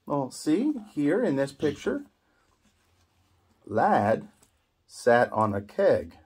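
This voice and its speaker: Husky dad, slow and very animated. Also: male voice, a little high. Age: middle-aged. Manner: simple short phrases, prompting with questions. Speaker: father guiding a child's reading lesson. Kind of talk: gentle explaining and questioning to young children. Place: small indoor room at a table.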